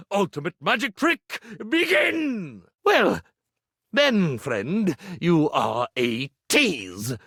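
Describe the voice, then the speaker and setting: A man's voice: high-pitched, a bit bright, husky, dry recording, studio quality, full character voice. A man voicing a flamboyant, grandiose would-be magician, an enchanted household iron in a video game, with swooning showman delivery. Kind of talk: video game character acting.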